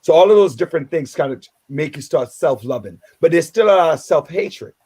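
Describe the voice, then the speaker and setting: Jamaican man hosting radio, halting. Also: fairly high pitch, lively intonation, dark tone, breathy edge. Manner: halting, many restarts and filler words. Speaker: radio host. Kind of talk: casual radio talk.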